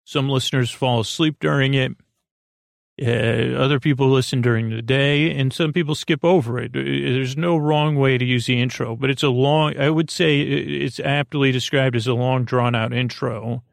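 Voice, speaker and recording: Man, gravelly creaky voice, dry room-free sound, halting delivery, meandering goofy sleep podcast monologue. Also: medium pitch, steady tone, no room sound. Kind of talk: rambling bedtime monologue.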